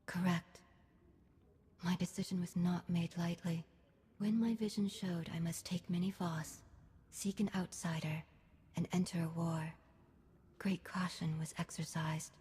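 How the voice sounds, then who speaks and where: Husky, breathy, solemn alien mystic. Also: female voice, on the low side, fairly even intonation, warm tone. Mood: solemn, serene. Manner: formal, clipped, measured, stilted phrasing. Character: solemn alien female mystic, serene, austere and certain. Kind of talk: scripted video game dialogue. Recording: long reverberant hall.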